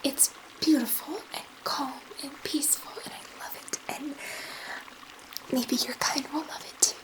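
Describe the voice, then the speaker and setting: A woman playing a gentle, curious alien scientist in an ASMR sci-fi roleplay, speaking in a soft, breathy whisper with a hesitant, soothing manner. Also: high voice, fairly even intonation, a little slow, poor audio. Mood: serene, hopeful.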